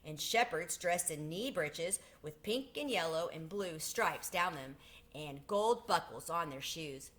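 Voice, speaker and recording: A woman's voice: low-pitched, animated, measured pace, fairly bright tone. A woman reading a children's storybook aloud as a storyteller.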